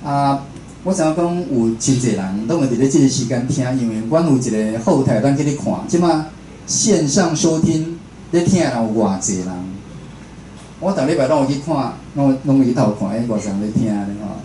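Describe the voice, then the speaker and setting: A man's voice: mid-range voice, lively intonation, moderate pace, mellow. A man with a Taiwanese accent giving an informal talk as a radio host, conversational, repeating phrases and putting questions to his listeners. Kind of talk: informal public lecture. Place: indoor room, speaking to an audience. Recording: roomy echo, faint background noise.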